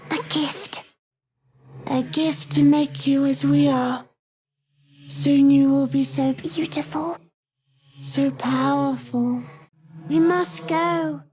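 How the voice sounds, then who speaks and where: A woman voicing an eerie, childlike brain creature in a video game, devoted and urgent, with whispery, halting speech. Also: high voice, a little mellow. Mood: eerie devotion. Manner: slow, halting, clipped sentences.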